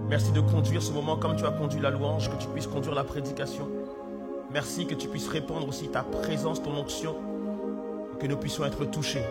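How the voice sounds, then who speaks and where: A man preaching a sermon as pastor, hoarse with flu, earnestly calling out questions to his congregation. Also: mid-range voice. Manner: earnest, calls out questions to the congregation, many fillers and restarts. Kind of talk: sermon with opening prayer. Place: church hall.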